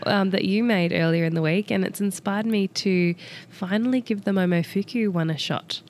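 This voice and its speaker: An Australian woman with a head cold co-hosts a relaxed food podcast chat. She sounds a bit nasal and stuffy as she explains cooking facts in an easygoing way. A faint hiss of noise sits under her voice. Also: medium pitch, normal speed. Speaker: podcast co-host. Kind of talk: casual chat.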